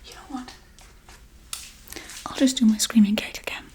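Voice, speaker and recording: A woman playing a scatterbrained, casually callous witch in a soft-spoken ASMR role-play, rambling in a breathy, mostly whispered voice. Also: a little high, fairly even intonation, moderate pace. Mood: lazy, indifferent. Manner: offhand, rambling, blithely unbothered.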